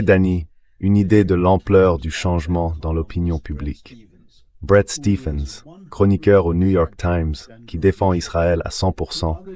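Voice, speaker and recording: A man's voice: sits low, warm tone. A man's synthetic voice reading a translated voice-over as a guest analyst discussing politics.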